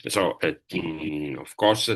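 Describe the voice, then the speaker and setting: A man with a very low voice and an Italian accent speaks slowly on a discussion panel. He talks in halting English, pausing often and filling the gaps while he searches for the next word. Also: fairly bright tone. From Italy. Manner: halting, many fillers, searching for words. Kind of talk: panel talk.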